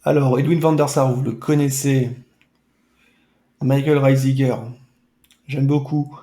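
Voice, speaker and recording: A man chatting casually over a retro football game stream, full of playful, mock-dramatic asides. His voice is mellow and soft-edged. The room around him rings with echo. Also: medium pitch, little pitch movement, unhurried. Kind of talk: casual let's-play chat.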